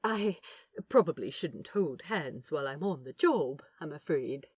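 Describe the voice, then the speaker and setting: A woman plays a courteous, smitten gentleman in an audio drama, speaking slowly and softly with quaint politeness. The voice is lowish and husky, with an eager, lilting delivery. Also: animated, no room sound, heavily acted. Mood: tender, shy. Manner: polite, quaint phrasing, soft-spoken. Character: Aziraphale, gentle eager old-fashioned man, smitten and courteous. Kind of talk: fan fiction audio drama.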